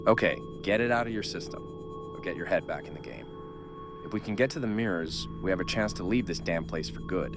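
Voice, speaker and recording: A man playing a gruff, world-weary survivor who takes charge in an audio horror drama. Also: low-pitched, lively intonation, brisk, music in the background. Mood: firm.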